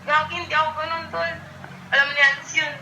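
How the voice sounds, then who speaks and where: A woman answering a friend's call, teasing and suspicious, quick to laugh. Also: high-pitched, level tone, pushed and bright, echoey room, slight background noise, poor audio. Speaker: friend receiving a prank call. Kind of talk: casual phone chat.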